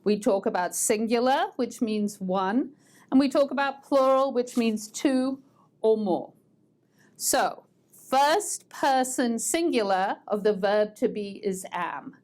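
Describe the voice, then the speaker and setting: An American woman teaching English online, taking her time and repeating each form for practice. Her voice sits a little high and she speaks slowly and clearly, as if pacing herself for learners. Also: a little mellow. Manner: slow, patient, repeats words for drilling. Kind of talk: online grammar lesson. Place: language school in Boston.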